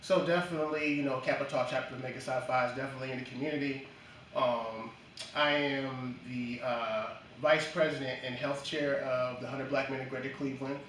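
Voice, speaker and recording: A man from Jamaica, a guest in a casual interview, speaking in a measured way with frequent ums and uhs. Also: medium pitch, fairly even intonation, a bit bright, a little hiss or hum. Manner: measured, many ums and uhs, lists achievements. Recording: echoey room from a distance.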